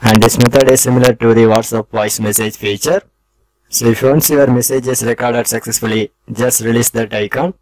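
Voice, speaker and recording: A man's voice: medium pitch, steady tone, fairly quick, dry recording, poor audio. A man hosting a tech tutorial, narrating scripted step-by-step instructions in a formulaic, instructional way.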